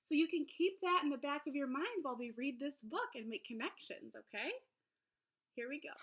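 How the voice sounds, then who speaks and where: A woman teacher reading aloud to young children in an encouraging, expressive storybook manner. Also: sits high, a little fast. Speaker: elementary school teacher. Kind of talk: children's read-aloud lesson.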